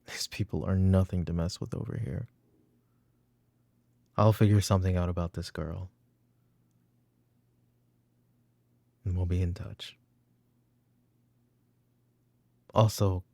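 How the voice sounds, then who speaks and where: A man playing a weary, grief-haunted mafia boss in roleplay ASMR, breathy and sighing, often slipping into a whisper. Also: sits low, dark tone, no room sound. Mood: controlled, businesslike.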